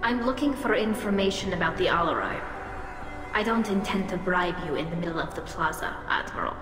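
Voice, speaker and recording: A woman's voice: on the low side, animated, brisk, lightly acted. An actress playing an earnest, principled young female alien engineer in a video game, speaking precisely. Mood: guarded, defiant. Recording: echoey hall reverb, music behind.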